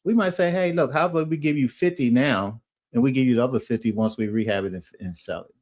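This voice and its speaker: A man chatting casually as a guest investor, relaxed and enthusiastic. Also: mid-range voice, quick pace. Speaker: guest real estate investor. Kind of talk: casual chat.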